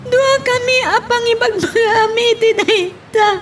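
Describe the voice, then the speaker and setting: Radio drama role: actress as heartbroken, pleading lover, very high voice, slow and melodramatic, slightly distant and noisy recording. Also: female voice, steady tone, soft background music. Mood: hurt. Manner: tearful, melodramatic. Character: grieving, heartbroken woman in love, pleading. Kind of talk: Ilocano radio drama acting.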